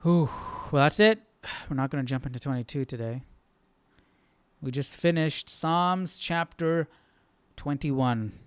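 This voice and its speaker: A man's voice: a little high, a little slow, slight background noise. A man hosting a casual live Bible study, thinking aloud informally and often checking in with the listener. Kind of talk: casual live commentary on scripture.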